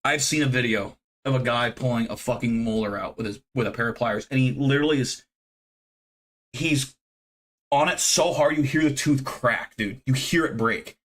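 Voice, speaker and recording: Thirties male streamer, loose profane chatter, mid-range pitch, self-deprecating. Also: moderate pace, a bit bright. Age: 30s. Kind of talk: casual live-stream chat with viewers.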